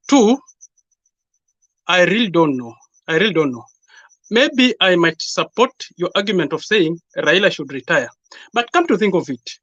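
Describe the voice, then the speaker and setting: A man's voice: high-pitched, bright, forceful tone, no room sound, dull audio. A man with a Kenyan accent making a formal, emphatic argument as a panelist in a political debate.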